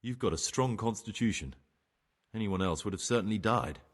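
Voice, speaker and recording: An actor playing a remorseful ex-bandit turned novice monk in a game cutscene, husky, measured and persuasive. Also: male voice, lowish voice, lightly acted. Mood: measured storytelling. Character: remorseful young ex-bandit novice monk, sly pragmatic bargainer. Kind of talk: dramatic game cutscene acting.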